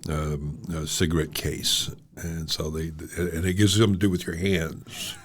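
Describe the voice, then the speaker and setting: A man's voice: extremely low pitch, steady tone, normal speed. A man with a Texan accent hosting a podcast interview, talking in a thoughtful, reflective way with easy fillers. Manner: thoughtful, reflective, with a leisurely pace and frequent fillers. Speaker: podcast host. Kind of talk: casual interview.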